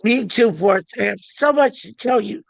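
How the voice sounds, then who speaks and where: A woman's voice: medium pitch, expressive, moderate pace, vocal fry, dry recording. A woman at a table read playing a free-spirited young hippie woman, tender but evasive. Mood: warm.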